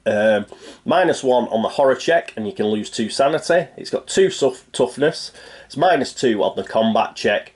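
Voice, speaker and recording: A man's voice: mid-range voice, animated, normal speed. A man with a stuffy nose from a cold, chatting casually through a board game setup and thinking aloud with plenty of fillers. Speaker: board game channel host.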